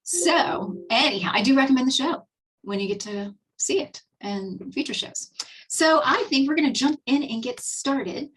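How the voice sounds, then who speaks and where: A husky-voiced Texan woman in her fifties chats through a relaxed online presentation, upbeat and full of fillers and restarts. Her voice sits a little away from the microphone, as on a video call. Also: sits high, normal speed, distant mic, rough recording. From Texas, USA. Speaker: webinar host and skincare presenter. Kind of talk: casual webinar presentation.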